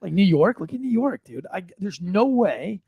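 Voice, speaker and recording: A man's voice: sits high, very animated, moderate pace, vocal fry, husky, no echo, narrow, tinny audio. A man hosting a podcast, chatting casually about the news in a blunt, irreverent, slangy way. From Florida. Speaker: podcast host.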